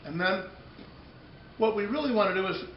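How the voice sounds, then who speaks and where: A middle-aged or older man giving an unscripted product demonstration, often repeating and rephrasing himself in a halting way. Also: high voice. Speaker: company presenter demonstrating a product. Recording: echoey room, dull muffled audio, noticeable background noise.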